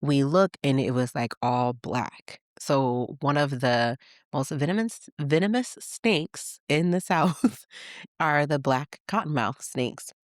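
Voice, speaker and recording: A woman from Michigan hosting a podcast, telling childhood stories in a chatty, animated way and laughing as she goes. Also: a bass voice, takes it slowly, no room sound, studio quality. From Michigan, USA. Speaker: podcast host. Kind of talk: casual personal storytelling.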